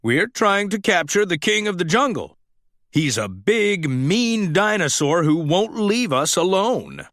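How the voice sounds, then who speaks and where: A solemn toy tribe leader from a children's storybook, performed by a man. He instructs and encourages earnestly in a lively, raised voice. The recording is dry. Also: sits high, a little slow, no room sound, full character voice.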